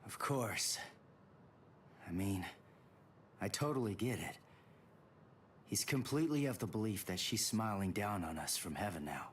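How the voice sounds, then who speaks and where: A man's voice: lowish voice, measured pace, long pauses, slight background noise, close to an everyday voice. Echoey, blunt teenage anime hero acted by a man. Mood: somber, reflective. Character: brash, hot-headed but earnest male high school student. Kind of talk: English anime game dub.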